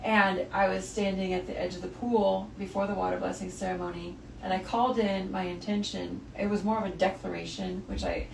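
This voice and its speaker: An effusive woman hosts a relaxed spiritual podcast chat, laughing easily between thoughts. Her voice carries a gravelly fry. She sounds set back from the mic in an echoey room with a faint hiss behind. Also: medium pitch, a little fast, hardly any pauses. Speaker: podcast host. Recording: roomy, distant mic with faint noise.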